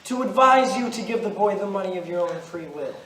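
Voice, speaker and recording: A man's voice: sits high, expressive, fairly bright tone. A young man playing a grave, relentless, centuries-old stranger in an amateur stage play, speaking in a solemn, declamatory way. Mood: measured, knowing. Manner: solemn, deliberate, declamatory storytelling. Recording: roomy, noisy stage recording from far off.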